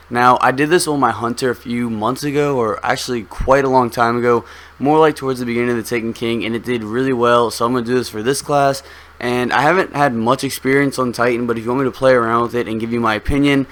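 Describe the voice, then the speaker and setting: A young man with a slightly hoarse voice, giving relaxed, rambling game commentary full of ums as a gaming video host. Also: medium pitch. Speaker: gaming YouTuber. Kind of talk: casual gameplay commentary.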